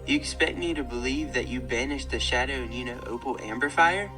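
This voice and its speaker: A man's voice: medium pitch, brisk, music in the background, natural voice, poor audio. A man playing a skeptical, weary cellmate in a comic audio drama, talking in a sarcastic, dismissive way. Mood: dismissive disbelief.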